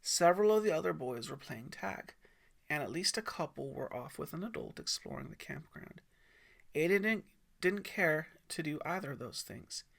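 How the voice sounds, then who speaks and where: A man reads a story expressively, as an audiobook narrator would. His voice is bright and forceful, a bit high for a man, with a gravelly creak. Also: big pitch swings, moderate pace.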